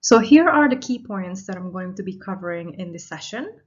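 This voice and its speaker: A woman in her thirties, originally from Indonesia, giving a recorded university lecture in a measured, explanatory way. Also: on the low side, lively intonation. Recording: slightly dull lo-fi audio.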